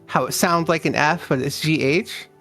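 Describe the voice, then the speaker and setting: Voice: mid-range voice, fairly bright tone, faint music behind. A guest on a stream chatting casually, joking around and laughing often. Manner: slow, drawn-out, joking.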